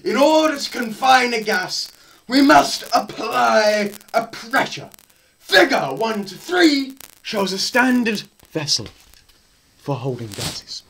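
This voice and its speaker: Young male, slow delivery, very animated intonation, theatrical lecturing, emphatic pauses. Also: very high voice. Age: teens to young adult. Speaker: presenter. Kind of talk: dramatised physics lecture read from a book. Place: small bare room indoors. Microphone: camera a short distance away.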